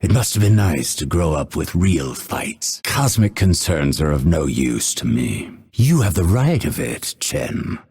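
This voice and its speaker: An actor playing a proud, cold, vengeful assassin in a video game, with a husky voice and measured, haughty, menacing delivery. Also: male voice, low voice, sing-song, a little mellow, exaggerated voice. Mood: aloof, haughty. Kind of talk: scripted game voice lines, theatrical delivery.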